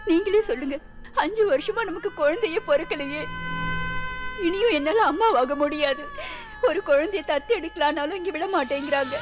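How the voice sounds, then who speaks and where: Weeping young wife. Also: female voice, very high voice. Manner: tearful, pleading. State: crying. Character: young childless wife in deep grief.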